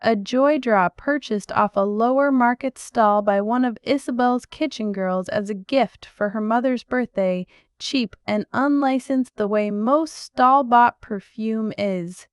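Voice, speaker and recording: Woman narrator, smooth clear voice, dry close sound, measured reflective storytelling. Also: on the high side, no room sound. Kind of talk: first-person storybook narration.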